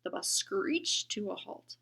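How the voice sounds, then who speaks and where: A woman reading a children's book aloud, gentle and expressive, often dropping into a whisper. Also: slow pace. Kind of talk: storybook read-aloud.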